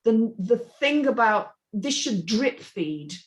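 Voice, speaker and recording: A woman's voice: on the high side, expressive, slow. A woman on a script reading panel giving an unscripted, emphatic and blunt critique in discussion.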